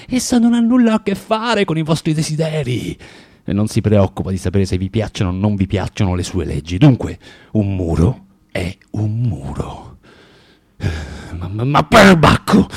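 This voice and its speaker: An actor playing a bitter, tormented man of forty in a theatrical radio monologue, halting and repeating himself as he swings between muttering and outbursts. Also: a little high. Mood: defiant, exasperated. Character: bitter, tormented forty-year-old underground man, spiteful and self-contradicting.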